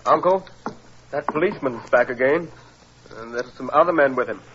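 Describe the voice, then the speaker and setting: A man's voice: mid-range voice, a little fast, a bit bright, close to an everyday voice. A male actor plays a proud, defensive young man in an old radio play. He speaks emphatically and with indignation. The recording is muffled and has some noise. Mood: wary. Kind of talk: 1940s radio acting.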